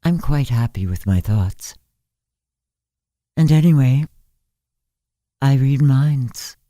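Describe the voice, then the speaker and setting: Slow, mellow, frail ancient woman, voiced by a reader. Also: very deep voice, animated, no room sound, clean studio sound, voice pushed up, heavily acted. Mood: serene. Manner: measured, polite, composed. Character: ancient, frail, blind bedridden woman, calm and dispassionate. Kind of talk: audiobook story reading.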